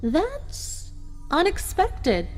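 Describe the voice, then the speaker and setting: A woman's voice: very high-pitched, wildly swooping pitch, extremely slow, voice pushed up, exaggerated voice. A woman voicing a smug, cruel female unicorn villain in a fan fiction reading, with a taunting, mock-polite manner. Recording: long hall reverb, music behind.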